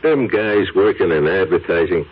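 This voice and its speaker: An actor playing a dry, cynical police sidekick in an old-time radio drama. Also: male voice, low voice, expressive, normal speed. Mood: wry, cynical. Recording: tinny, hissy old radio recording.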